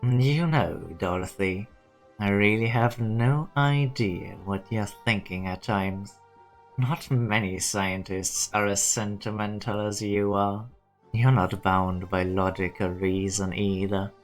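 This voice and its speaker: A man playing a cold, logical, condescending scientist in a fan dub, with a measured, cynical manner. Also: lowish voice, fairly even intonation, faint music behind. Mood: skeptical. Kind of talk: dramatic fan-dub voice acting.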